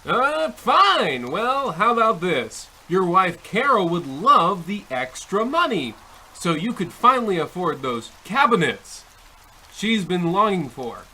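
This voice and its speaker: A man playing a wheedling, impatient devil who pitches deals like a salesman, in theatrical old-time radio comedy style, with a raspy voice. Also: sing-song, slow pace, bright, forceful tone, exaggerated voice, low-quality recording. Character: fast-talking devil pitching a soul deal, impatient salesman.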